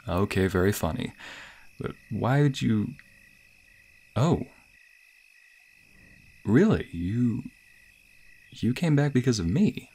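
A man acting a sweet, bashful young waiter on a romantic date in an ASMR roleplay, with a soft, breathy, playful and hesitant delivery. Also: fairly low pitch, big pitch swings, slow, long pauses, heavily acted. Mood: warm, bashful. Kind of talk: ASMR roleplay, intimate scripted boyfriend monologue.

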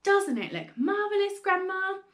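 A woman voicing an eager, excited young boy in a children's storybook, calling out loudly. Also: moderate pace. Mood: proud, thrilled. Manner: high, eager shouting.

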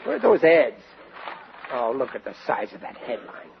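An actor in a 1940s radio sitcom playing an excitable, dim, sentimental family man, blustering and plaintive in a colloquial way. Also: mid-range voice, normal speed, gravelly. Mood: grumbling, amused. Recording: noisy, dull 1940s radio recording with audience.

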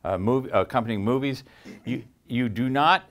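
A man's voice: medium pitch, normal speed, a bit bright. An older man giving a technical demonstration as an audio engineer, explaining emphatically and proudly. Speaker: audio engineer presenting his design. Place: small acoustically treated home theater room.

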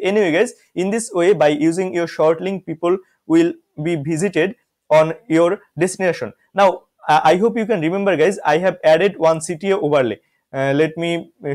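Slightly high-voiced young man from Bangladesh. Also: darkish tone. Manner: walks through steps, frequent "guys" and "see". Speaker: software reviewer. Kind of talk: screen-recorded software tutorial.

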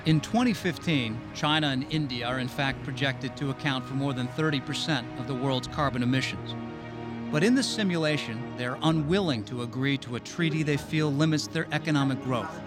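A man's voice: mid-range voice, brisk. Male documentary narrator over music. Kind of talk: scripted narration. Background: background music.

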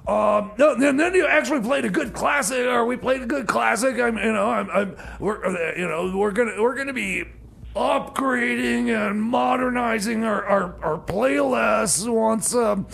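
Man playing a high, creaky, flustered radio DJ. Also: fairly bright tone, full character voice. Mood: flustered, rambling. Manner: stammering, halting, rambling. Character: Jeb, flustered male radio DJ. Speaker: radio host. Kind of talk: comedy radio theater.

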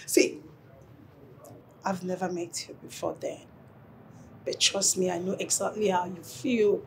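An actress playing an earnest, guilt-ridden young woman, halting and pleading in a film drama. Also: on the low side, fairly even intonation, vocal fry, a little hiss or hum. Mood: earnest, reassuring. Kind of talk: Nigerian romantic drama film acting.

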